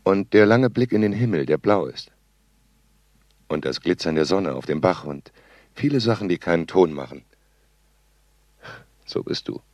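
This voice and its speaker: Deep, terse sound artist. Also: male voice, expressive, darkish tone, no room sound. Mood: tender, poetic. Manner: deliberate, terse, fragmentary phrases. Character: eccentric male sound artist, cold commentator and tender poet. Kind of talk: clipped tape announcements and spoken sound poetry.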